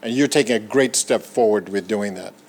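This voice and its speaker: A man's voice: lowish voice, a little fast. A man from the Bahamas giving an earnest, encouraging ceremonial speech at a podium as a Rotary Foundation leader. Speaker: Rotary Foundation trustee chair.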